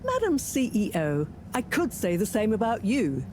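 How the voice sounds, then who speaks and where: A woman's voice: medium pitch, huge pitch swings, moderate pace, noisy background. An actress playing an authoritative female team leader in a video game, clipped and businesslike. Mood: stern. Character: brisk, commanding female rescue team leader. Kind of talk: scripted video game dialogue.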